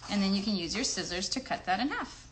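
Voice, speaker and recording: A woman's voice: medium pitch, expressive, fast talker, a bit bright, slight background noise. A woman hosting a children's craft show, giving simple step-by-step directions in an encouraging way and putting questions to the young viewers.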